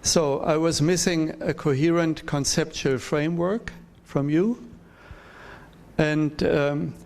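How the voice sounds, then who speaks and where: A man, a professor at a conference, giving a measured, direct academic critique of a presentation. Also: a little high. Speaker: professor, discussant.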